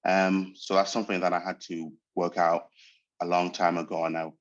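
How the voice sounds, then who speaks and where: A man reflects on his path as an actor, pausing often to search for words. He grew up speaking Portuguese first. The sound is dull and muffled. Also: low voice, little pitch movement. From Angola. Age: 30s. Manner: thoughtful, hesitant, searching for words. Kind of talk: reflective interview monologue.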